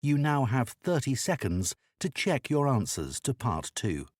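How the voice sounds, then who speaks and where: A man reading scripted exam instructions as an announcer, in a formal, measured manner. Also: medium pitch, sing-song, darkish tone, no room sound, clean studio sound.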